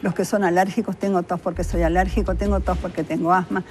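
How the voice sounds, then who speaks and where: A woman's voice: on the low side, level tone, quick pace, darkish tone, faint music behind. A woman with a Uruguayan accent explaining things conversationally as an expert guest in an interview. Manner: conversational, illustrates points with imagined quotes. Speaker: public health official and microbiologist, interview guest. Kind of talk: spontaneous explanatory interview talk.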